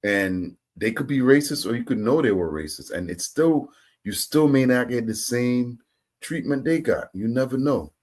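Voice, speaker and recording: A man's voice: medium pitch, animated, normal speed, a little mellow. A man hosting a reaction video, talking loosely and repeating himself. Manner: loose, talkative, repeats words and uses slang. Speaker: host reacting to clips. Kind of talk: casual reaction commentary.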